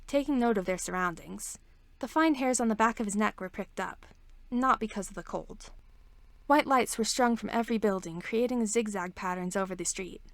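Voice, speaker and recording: A woman's voice: mid-range voice, dry recording. A woman reading scripted fiction aloud as the narrator of an amateur audiobook. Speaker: podfic reader.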